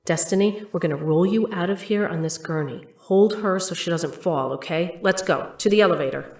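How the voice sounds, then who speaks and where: A bold female lead in an audio drama. The voice sits a little low, moving between brisk first-person narration and dialogue. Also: a little mellow, breathy edge, soft background music. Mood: determined. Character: brisk, bold heroine narrating her rescue adventure.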